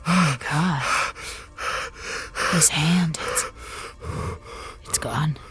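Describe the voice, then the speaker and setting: An actress playing a brisk, capable officer on a space station, clipped and businesslike, in an audio drama. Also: female voice, deep voice, a bit bright, husky, exaggerated voice. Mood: shocked.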